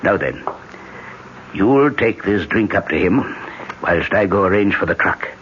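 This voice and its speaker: An actor playing a sly, bossy Irish gun-runner in a 1970s radio drama, with an Irish accent. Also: male voice, low voice, lively intonation, unhurried. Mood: brisk, scheming. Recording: dull, hissy old radio broadcast.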